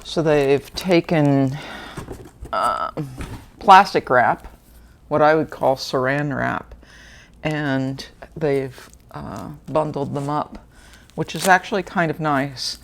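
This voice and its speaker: A woman's voice: sits low, takes it slowly, slight background noise. A woman, hosting a book channel and chatting casually through an unboxing with fillers and small laughs. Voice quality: slightly gravelly.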